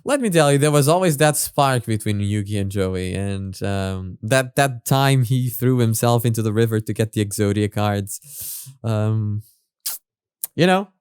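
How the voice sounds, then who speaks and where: A man hosting a reaction video with casual spoken commentary, halting and repeating his phrases as he goes. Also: fairly low pitch, a little mellow, clear, resonant tone, no room sound, studio quality.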